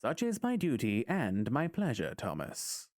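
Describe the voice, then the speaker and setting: An actor playing a dutiful, courteous butler and confidant in an audio drama, speaking formally and with measured words. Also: male voice, mid-range voice, huge pitch swings, a little mellow, a little hiss or hum. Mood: courteous, reassuring.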